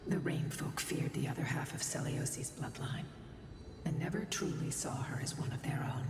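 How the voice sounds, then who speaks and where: A woman playing an ancient, solemn and wistful winged guardian in a video game, with a breathy voice and grave, measured storytelling. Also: very deep voice, expressive, distant mic. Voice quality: breathy, whispery. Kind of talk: video game dramatic dialogue. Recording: voice in long echoing reverb, music behind. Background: game music.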